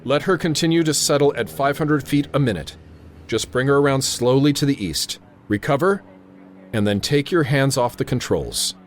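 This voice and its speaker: An actor playing a tense, authoritative airfield controller in a radio drama, narrating and giving orders with clipped urgency. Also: male voice, mid-range voice, lively intonation, moderate pace, slight background noise, close to an everyday voice. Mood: stern, insistent. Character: tense, commanding male airfield tower controller narrating a crisis.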